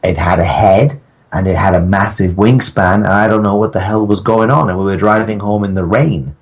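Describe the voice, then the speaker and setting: Low-voiced man rambling jokily. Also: fairly even intonation, a little fast. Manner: rambling, joking, mock-defiant. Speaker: podcast guest, audio drama maker. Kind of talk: casual comic podcast chat.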